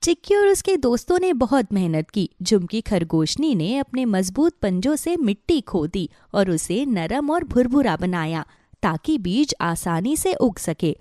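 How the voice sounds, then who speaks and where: A woman narrating a scripted children's story in an expressive storytelling manner. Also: medium pitch, unhurried, no echo, studio quality.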